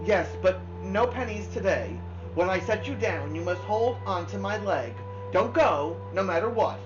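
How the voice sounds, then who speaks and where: Young storybook girl, high, earnest, emotional, played by a female narrator, music underneath. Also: expressive, measured pace, lightly acted. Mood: playfully instructing. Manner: pleading, emotional. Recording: dull lo-fi sound, music behind.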